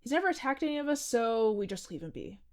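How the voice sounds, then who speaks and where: A woman's voice: a little high, very animated, quick pace. A young woman acting a strange, cheerfully nerdy coworker in a comedic audio drama, matter-of-fact and teasing. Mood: breezy. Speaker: restaurant janitor.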